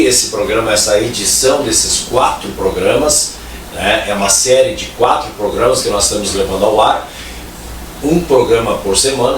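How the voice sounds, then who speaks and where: A man hosting a talk show, chatty and joking, often tagging his phrases with questions. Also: medium pitch. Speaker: radio talk show host. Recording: echoey, distant, faint noise.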